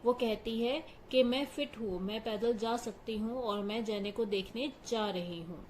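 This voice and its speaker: A woman's voice: fairly high pitch, unhurried, a little mellow, slight background noise. A woman teacher patiently explaining a story line by line, glossing each phrase in simple words. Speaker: English teacher.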